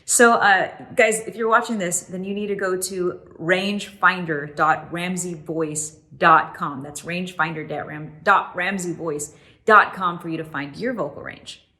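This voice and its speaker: A woman's voice: fairly low pitch, fairly even intonation, unhurried, sharp tone. A woman hosting a casual interview in an upbeat way, speaking directly to her viewers. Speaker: host.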